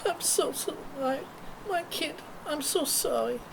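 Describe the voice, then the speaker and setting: A woman's voice: sits high, takes it slowly, vocal fry, heavily acted. A woman playing a confused, emotional mother in a screenplay table read, recorded in a noisy room. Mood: tearful, sorry. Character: confused, fierce, then tender mother.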